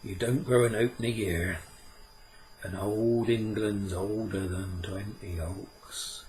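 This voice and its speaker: A man voicing a sly, ancient male sprite who talks in a measured way and sometimes chants in a slow sing-song. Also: fairly low pitch, steady tone, darkish tone, slight background noise, poor audio. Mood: knowing.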